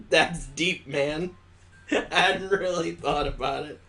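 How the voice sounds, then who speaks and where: A man's voice: a little high, slow pace, a bit bright, faint music behind. A man hosting a gaming video, reading a visual novel aloud expressively with casual asides.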